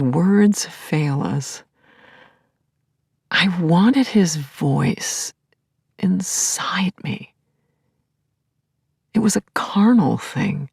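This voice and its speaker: An actress playing a grieving, lovelorn middle-aged therapist in an intimate audio play monologue, confiding and wry, lingering on words and dropping to murmurs. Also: female voice, on the low side, creaky, darkish tone, breathy edge, dry recording, clean studio sound, exaggerated voice. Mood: yearning, reverent. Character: grieving, lovelorn woman therapist, self-aware and yearning. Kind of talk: intimate first-person dramatic monologue.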